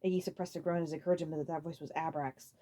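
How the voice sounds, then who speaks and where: A woman reading a story aloud as a dramatic narrator, expressive, occasionally stumbling over a phrase. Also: low-pitched, racing. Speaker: audiobook narrator.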